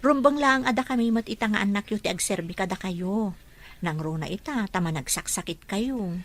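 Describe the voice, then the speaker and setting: A woman's voice: mid-range voice, moderate pace, natural voice. A woman playing a dutiful, kind young daughter in a radio-style drama. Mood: caring.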